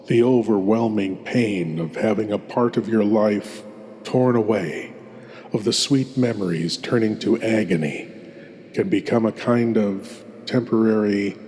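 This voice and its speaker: Slow, reverberant male horror host. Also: lowish voice, darkish tone. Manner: ominous, savouring, deliberate delivery. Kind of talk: theatrical scripted horror narration. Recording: long cavernous reverb.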